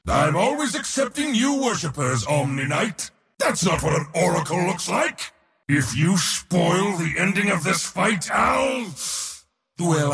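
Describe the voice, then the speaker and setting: A man's voice: on the high side, a bit bright, big-room sound, full character voice. A man voicing an arrogant, mocking war god in a video game, pompous and theatrical with a sardonic edge. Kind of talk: video game character voice lines.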